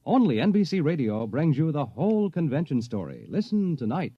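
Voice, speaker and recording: A man reading 1950s radio announcements in an earnest, formal, measured manner. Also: fairly high pitch, very animated, slightly rough. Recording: dull old radio, roomy echo.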